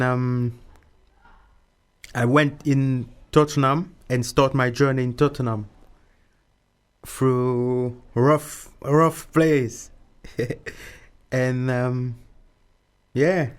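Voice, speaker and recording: Slow-talking man from Mauritius. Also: medium pitch, fairly even intonation, clear, resonant tone. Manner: informal, repeats words often. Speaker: interviewee. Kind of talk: casual interview.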